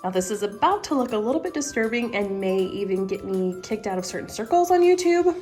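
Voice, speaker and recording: Raspy-voiced young woman, sick and hoarse. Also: mid-range voice, lively intonation, brisk, a little mellow, soft background music. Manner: chatty and upbeat. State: hoarse from illness. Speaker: vlogger. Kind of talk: casual recipe vlog. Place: living room at home, indoors.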